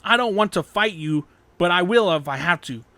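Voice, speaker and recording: A man's voice: high voice, expressive, moderate pace, sharp tone, no echo, exaggerated voice. A man voicing an earnest, determined young swordsman hero in a fan fiction story. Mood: earnest resolve. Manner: earnest, resolute.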